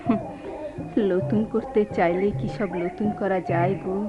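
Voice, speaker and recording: A woman's voice: medium pitch, fairly even intonation, measured pace, close to an everyday voice. A woman acting a heartbroken, free-spirited young hill woman, plaintive and repetitive, in a rustic Bengali dialect. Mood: mournful, resolute. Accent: rustic regional Bengali dialect. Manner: lilting, plaintive, repeating phrases. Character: heartbroken, free-roaming young hill-tribe woman refusing old love. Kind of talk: Bengali radio drama acting. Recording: dull lo-fi recording with music behind.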